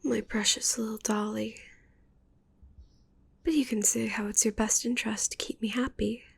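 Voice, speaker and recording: A woman playing a needy, possessive witch in an intimate ASMR roleplay, cooing with soft menace in a breathy whisper. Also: fairly high pitch, flat delivery, normal speed, heavily acted. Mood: possessive, condescending. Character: possessive, needy young witch doting on shrunken captive.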